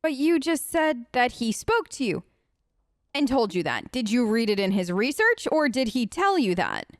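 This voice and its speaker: A woman with a high voice gives casual let's play commentary. She talks in a chatty, playfully skeptical way, improvising dialogue as her game character. The sound is close and dry. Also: very animated, moderate pace, no room sound. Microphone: close, dry microphone.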